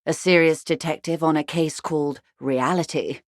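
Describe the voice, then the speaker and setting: A woman's voice: low-pitched, steady tone, normal speed, no room sound, clean studio sound, natural voice. An actress playing a wealthy, worldly older woman in a video game, articulate, arch and wryly condescending. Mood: wistful, amused. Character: wealthy, worldly older woman, urbane, wry, intellectually vain. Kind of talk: video game character dialogue.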